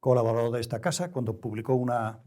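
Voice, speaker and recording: An older man with a European Spanish accent speaking reflectively in an interview, deliberate and halting, choosing erudite words with care. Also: mid-range voice, steady tone, mellow. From Spain. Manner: deliberate, halting, with erudite careful word choice. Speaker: interview guest, novelist.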